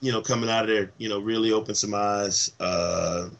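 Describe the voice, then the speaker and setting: A man co-hosting a sports podcast, a little hoarse from travel, telling stories enthusiastically in casual chat with lots of fillers. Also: fairly low pitch, normal speed, highs cut off. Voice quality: slightly hoarse. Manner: animated, enthusiastic storyteller with many fillers. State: voice worn from travel. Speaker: podcast co-host.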